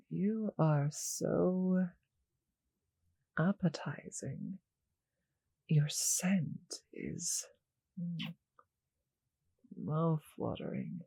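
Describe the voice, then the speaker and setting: Someone acting a slow purring vampire, mellow n gravelly, teasing and possessive, asmr roleplay, really close and dry. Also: medium pitch, sing-song, no echo, full character voice, rough recording. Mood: seductive, hungry. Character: seductive, doting vampire with a teasing, possessive streak. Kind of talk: intimate ASMR roleplay audio.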